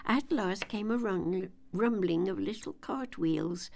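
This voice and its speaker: An older woman reading a children's story aloud as an expressive audiobook narrator. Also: medium pitch, takes it slowly, creaky.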